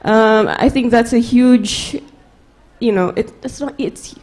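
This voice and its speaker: A woman answering on a panel, speaking with many fillers and pauses. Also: fairly high pitch, a little mellow.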